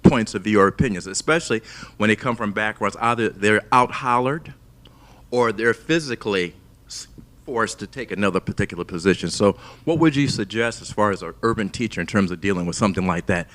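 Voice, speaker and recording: A man with a somewhat low voice speaks reflectively from the audience, raising a question at a plain conversational pace. He talks with quiet conviction. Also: brisk. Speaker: audience member, urban teacher.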